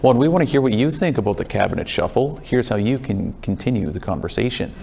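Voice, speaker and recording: A man reading scripted news as an anchor in a measured broadcast style. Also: medium pitch, expressive, creaky, darkish tone, slightly rough, soft background music.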